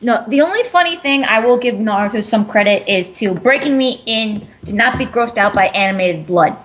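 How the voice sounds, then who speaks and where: A woman with a high voice chats casually among co-hosts. There is faint noise and some clicking on her recording. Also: expressive, moderate pace, a bit bright. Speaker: commentary podcast co-host. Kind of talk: casual group chat.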